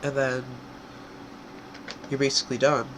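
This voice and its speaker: A man's voice: medium pitch, flat delivery, measured pace, background noise. Congested young man rambling through a tutorial. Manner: rambling, filler-heavy, self-correcting. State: congested from a cold. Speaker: tutorial creator. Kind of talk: casual screen-recorded software tutorial.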